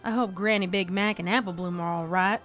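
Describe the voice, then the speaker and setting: A woman's voice: medium pitch, steady tone, fairly quick, a bit bright, faint music behind, natural voice. Woman doing a folksy Southern farm pony. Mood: worried. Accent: American Southern. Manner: folksy, plain-spoken. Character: down-to-earth, plucky female farm pony. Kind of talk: fan fiction character voice acting.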